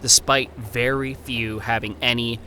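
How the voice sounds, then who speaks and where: A man playing a wary young anthropologist in a horror audio drama, reading his letters in formal, precise, measured diction. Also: mid-range voice, steady tone, noisy background, natural voice. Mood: intent, troubled.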